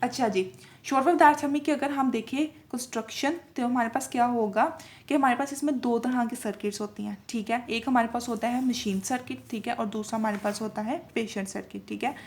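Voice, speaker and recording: A woman's voice: a little high, fairly even intonation, brisk, darkish tone. A woman lecturing informally, explaining step by step with much repetition, frequent check-in tags and English technical terms mixed in. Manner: switches between Urdu-Hindi and English technical terms. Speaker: lecturer in physiotherapy video lessons.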